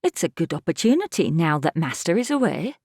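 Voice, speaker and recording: An actress playing an observant, plain-spoken housekeeper who tells a story in measured audiobook narration. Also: female voice, on the low side, very animated, darkish tone, husky, dry recording, clean studio sound, exaggerated voice. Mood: pointed, unyielding.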